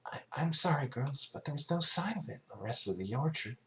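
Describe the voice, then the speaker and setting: Man doing a timid young female pegasus pony, meek, hesitant, high-pitched, apologetic, storybook reading. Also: animated, a little fast, a bit bright.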